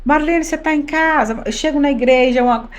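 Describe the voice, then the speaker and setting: A woman in her early fifties, from Minas Gerais, speaks as a guest on a church podcast. Her voice sits a little high. She talks with earnest emphasis, circling back to repeat her key phrases. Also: fast. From Minas Gerais, Brazil. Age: 50s. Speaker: pastor's wife, podcast guest. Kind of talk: conversational testimony.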